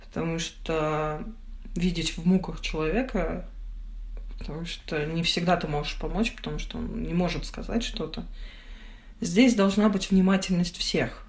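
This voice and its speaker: A young woman giving a candid personal account as a podcast guest, speaking in halting, reflective phrases. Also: lowish voice, fairly even intonation.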